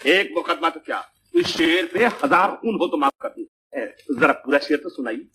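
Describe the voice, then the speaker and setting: A pompous, poetry-loving rich patriarch of 1950s film comedy. His voice is bright, forceful and high, full of bluster, on a slightly noisy old soundtrack. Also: expressive, normal speed. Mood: delighted, pompous. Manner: pompous, exclamatory, flowery.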